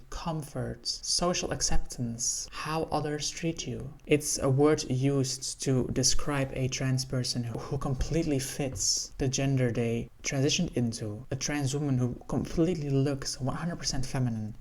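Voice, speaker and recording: A woman with a lower-pitched voice, sharing personal reflections in an educational vlog, earnest and candid. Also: a little mellow. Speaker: vlogger.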